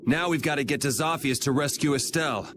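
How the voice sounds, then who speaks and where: An actor voicing a steady young man in a game cutscene, speaking calmly and matter-of-factly. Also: fairly high pitch, fairly quick, noisy background, lightly acted. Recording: game audio with faint music.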